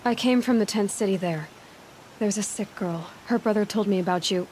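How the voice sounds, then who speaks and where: A woman's voice: medium pitch, moderate pace, slight background noise, lightly acted, low-quality recording. A woman playing a determined, compassionate young wanderer heroine in a video game, speaking directly and bluntly. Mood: firm, challenging. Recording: loud music behind the voice.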